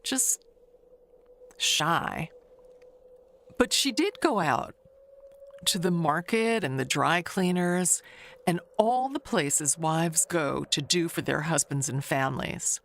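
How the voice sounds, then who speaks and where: Nosy older neighbor woman, played by an actress, audio mystery monologue. Unhurried, swooping delivery, confiding and sly. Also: low voice, big pitch swings, a bit bright, a little hiss or hum, heavily acted. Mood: gossipy. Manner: gossipy, confiding, halting with pauses.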